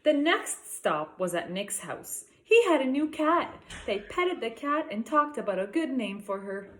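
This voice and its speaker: A woman teacher reading a picture book aloud to young children, slowing for emphasis and pausing to ask guiding questions. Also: a little high, big pitch swings, fairly bright tone. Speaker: elementary school teacher.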